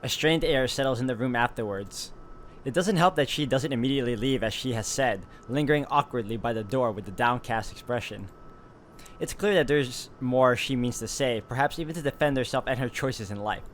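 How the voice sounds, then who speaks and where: A man playing a visual novel, reading its narration aloud in a steady, even way with relaxed asides. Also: medium pitch, fairly quick, a little hiss or hum. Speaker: gaming YouTuber. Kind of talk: reading game text aloud with commentary.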